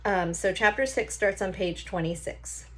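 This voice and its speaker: A woman's voice: medium pitch, normal speed, a bit bright. A woman reading a children's novel aloud like a teacher, with short explanatory asides.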